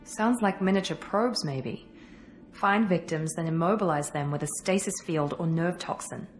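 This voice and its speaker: An actress playing a tough, analytical military operative in a video game, speaking in a businesslike, clipped way. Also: female voice, fairly low pitch, normal speed, close to an everyday voice. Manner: businesslike, clipped, matter-of-fact. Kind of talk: video game cutscene acting. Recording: music under the voice.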